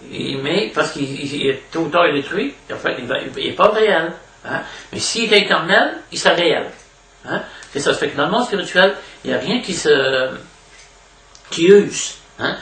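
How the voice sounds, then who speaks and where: A man with a Québécois French accent giving an informal spiritual lecture as a devotional preacher, haltingly, repeating words and adding little question tags. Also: fairly high pitch, bright, forceful tone. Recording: echoey room, distant mic, faint noise.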